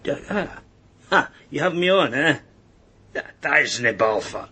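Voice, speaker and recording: A man acting a sly, agitated prison informant in a radio drama, rough-voiced, repeating himself and sneering. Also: fairly high pitch, animated, unhurried, pushed and bright, heavily acted. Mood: sly, wary. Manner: agitated, stammering repetitions, sneering. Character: jumpy, sly male jailbird and police informant.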